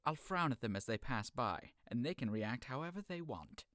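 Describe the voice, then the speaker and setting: An actor playing a teasing, sharp-tongued young male servant in an audio drama. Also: medium pitch, lively intonation, normal speed, no echo, studio quality, natural voice. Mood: mocking. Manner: teasing, mocking.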